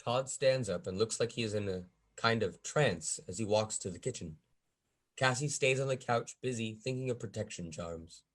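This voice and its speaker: A man's voice: lowish voice, moderate pace, a bit bright. A man reading stage directions as the narrator of a script table read.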